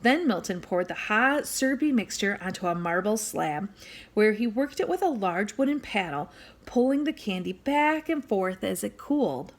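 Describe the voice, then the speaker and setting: A woman reading a children's book aloud as an expressive storyteller, speaking directly to young listeners. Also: medium pitch, moderate pace. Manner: animated, addresses young listeners with questions and asides.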